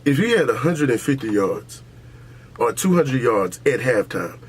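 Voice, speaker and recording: A man's voice: medium pitch, sing-song, unhurried, slight background noise. A man hosting a casual podcast, arguing in a brash, opinionated, profane way. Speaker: podcast host. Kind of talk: casual, heated sports and culture debate.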